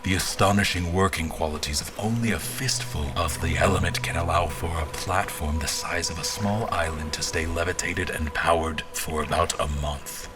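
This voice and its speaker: Male, very low voice: an actor as a formal royal officer narrating a sci-fi sea drama, measured and literary, music underneath. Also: steady tone, lightly acted. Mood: measured, reflective. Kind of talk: first-person scripted audio drama narration.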